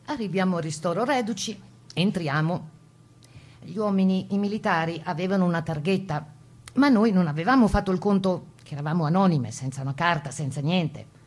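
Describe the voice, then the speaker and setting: A woman's voice: fairly low pitch, a little fast, a little mellow, lightly acted. An actress playing a proud woman partisan, recounting her wartime memories as emphatic theatrical testimony. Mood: reflective narration. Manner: emphatic storytelling, acting out quoted exchanges. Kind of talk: theatrical first-person testimony monologue.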